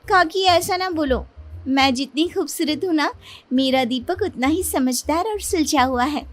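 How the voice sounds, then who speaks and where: A woman's voice: high voice, a little slow, pitched above the performer's own voice. A woman voicing an affectionate, pouty young newlywed wife in a cartoon moral story, coaxing and plaintive.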